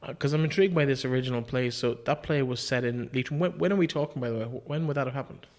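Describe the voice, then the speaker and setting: A man's voice: medium pitch, fast talker, darkish tone. A man with an Irish accent and a mid-range voice hosts a podcast. He speaks conversationally, putting questions to his guest as an interviewer.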